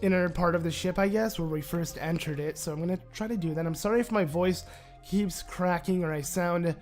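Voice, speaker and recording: A man with a raspy throat from being sick, chatting casually as he plays through a game, rambling with lots of filler words. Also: high voice, brisk, darkish tone, faint music behind. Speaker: let's play gamer. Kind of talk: casual gameplay commentary.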